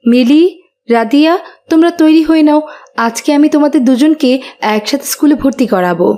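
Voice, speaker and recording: A woman voicing a caring, devout mother who gently admonishes in a moral cartoon. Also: sits high, brisk, natural voice. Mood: calm, caring. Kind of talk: Bengali moral cartoon voice acting.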